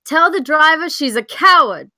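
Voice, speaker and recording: A woman's voice: high voice, expressive, normal speed, pushed and bright, no echo, studio quality, heavily acted. A woman playing a brash, bossy, sardonic adventurer with a folksy manner at a screenplay table read. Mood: brash, complaining. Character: bossy, brash young female adventurer barking orders.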